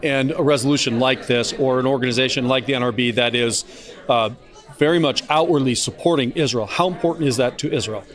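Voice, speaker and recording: A man interviewing a guest, asking plain, unhurried questions. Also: medium pitch, a bit bright.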